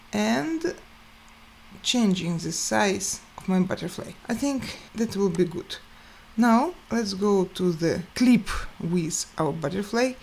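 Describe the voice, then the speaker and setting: A woman hosting a step-by-step software tutorial, speaking in short, halting phrases with pauses. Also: fairly high pitch. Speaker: tutorial host.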